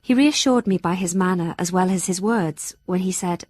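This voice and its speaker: An actress playing an earnest, anxious young Victorian gentlewoman in an audio drama, narrating her journal in a formal, heartfelt, measured way. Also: lowish voice, no echo, studio quality, close to an everyday voice.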